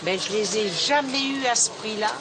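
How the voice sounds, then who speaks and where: An older French retiree chatting casually about daily life. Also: female voice, a little high, normal speed, crowd noise. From France. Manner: chatty, animated. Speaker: retiree interviewee. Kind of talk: casual chat. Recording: noisy ambient background.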